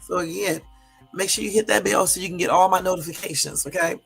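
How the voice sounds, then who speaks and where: A woman hosting a casual livestream, hoarse from a cold, chatty and often checking in with her listeners. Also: on the low side, fairly even intonation, quick pace, faint music behind. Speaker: livestream host.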